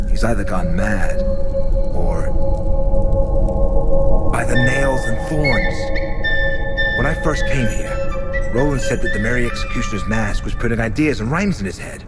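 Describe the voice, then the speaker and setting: An actor playing a stern inquisitor in a video game, speaking gravely and with determination. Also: male voice, medium pitch, lively intonation, measured pace, pauses often, over loud music, close to an everyday voice. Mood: grim pondering. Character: stern, grim male inquisitor investigating dark crimes. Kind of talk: video game drama acting.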